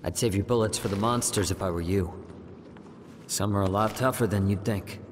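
An actor playing a cool, guarded young underworld henchman in a video game, laconic, unhurried and detached. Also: fairly low pitch, slight background noise, close to an everyday voice. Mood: dry, knowing. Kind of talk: video game cutscene acting.

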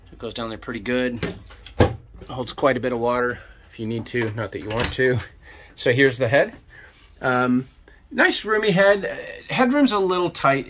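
A man in his fifties gives an easygoing, enthusiastic tour of a small boat cabin, dropping plenty of "uh"s. His voice sits in an ordinary middle range. It is picked up by a handheld camera held at arm's length, with a light background hiss. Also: medium pitch. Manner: relaxed, enthusiastic, filler-heavy. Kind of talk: casual walkthrough tour. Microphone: handheld camera mic at arm's length.

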